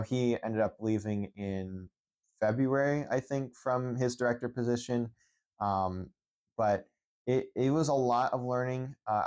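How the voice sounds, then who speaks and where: A man's voice: mid-range voice, darkish tone. A young man from Central Pennsylvania talks unscripted into his phone at home, rambling with many restarts and fillers. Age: young adult. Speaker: esports head coach. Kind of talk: unscripted vlog monologue.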